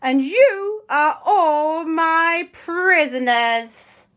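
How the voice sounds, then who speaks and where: A woman voicing a gloating Martian creature in a children's story, with slow, drawn-out, menacing words. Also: very high voice, a bit bright, voice pushed up, exaggerated voice. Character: gloating Martian creature, male, capturing prisoners.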